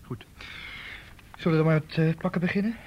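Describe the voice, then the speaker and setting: A man's voice: on the high side, steady tone, a little fast, highs cut off, lightly acted. An actor in a radio play voicing an uneasy, doubtful man who speaks hesitantly. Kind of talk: radio drama acting. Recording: noisy radio play recording.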